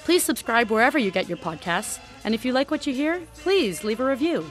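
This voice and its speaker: A woman reads closing credits in a measured, formal voice. Soft music plays beneath. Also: on the high side, lively intonation. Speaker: podcast credits announcer. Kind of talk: scripted announcement.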